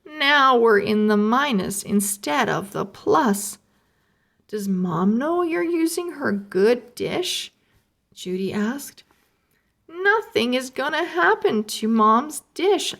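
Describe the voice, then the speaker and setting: A woman reads a children's chapter book aloud at a very unhurried pace, with a gravelly edge to her voice. She lifts her voice for the characters' lines and drops it again for the narration. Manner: slow, expressive, lifts pitch for characters' lines. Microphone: close mic, camera over an open book.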